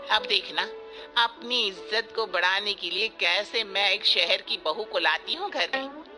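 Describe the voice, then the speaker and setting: A woman's voice: medium pitch, lively intonation, unhurried, sharp tone. A woman voicing a boastful, doting and bossy middle-aged village mother-in-law in a story cartoon, with folksy, emphatic delivery. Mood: proud, scheming. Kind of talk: Hindi moral story cartoon voice acting. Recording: music bed behind the voice.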